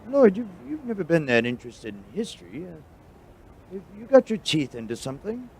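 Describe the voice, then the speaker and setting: A man playing an obliging, pedantic history professor in a radio drama, halting and pondering as he speaks. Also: sits high, big pitch swings, a little mellow, a little hiss or hum. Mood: curious.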